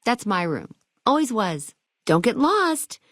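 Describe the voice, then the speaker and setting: Perky, animated high-voiced hotel keeper. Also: female voice, takes it slowly, no echo, clean studio sound, heavily acted. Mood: proud, guiding. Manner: chirpy salesmanship, playful old-fashioned slang. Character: perky, eager vault hotel keeper woman, quirky and chatty. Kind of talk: video game dialogue lines.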